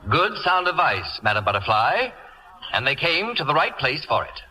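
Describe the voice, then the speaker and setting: A waspish, self-important celebrity of middle age in an old radio comedy. His voice is piercingly bright and fairly high, swinging widely in pitch with theatrical mock-sweetness. It has the sound of a vintage broadcast. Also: big pitch swings, moderate pace, exaggerated voice, low-quality recording. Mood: dry, teasing. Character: imperious, acid-tongued middle-aged celebrity who uses a wheelchair. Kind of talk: 1940s radio comedy acting.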